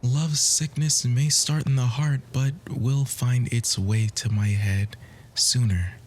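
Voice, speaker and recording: Man playing a slow, soft-whispering bookish romantic charmer. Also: mid-range voice, steady tone. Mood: tender, flirtatious. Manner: soft, breathy, often dropping to a whisper; courtly, flowery, deliberate phrasing. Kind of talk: ASMR romantic audio roleplay.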